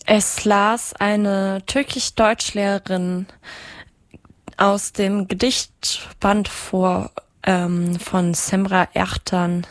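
A woman presenting on radio in an informal style, with hesitant, searching pauses. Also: mid-range voice, no room sound.

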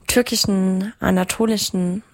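Slow, creaky-voiced woman radio presenter. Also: mid-range voice, steady tone, dry recording. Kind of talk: informal radio moderation.

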